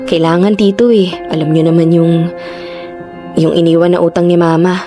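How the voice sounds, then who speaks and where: A woman's voice: fairly low pitch, flat delivery, normal speed, lightly acted. A woman playing a weary, dutiful young daughter in a Tagalog radio drama. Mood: tired. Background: music.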